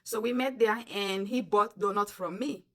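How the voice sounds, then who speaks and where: A chatty Sierra Leonean woman telling a personal story straight to her followers. She talks in a casual, emphatic way and keeps turning to her viewers directly. Her voice sits at an ordinary middle pitch. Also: moderate pace. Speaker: vlogger.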